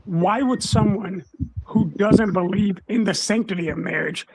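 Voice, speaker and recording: A man on a discussion panel, asking probing questions in a slow, deliberate way. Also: high-pitched, vocal fry, very noisy.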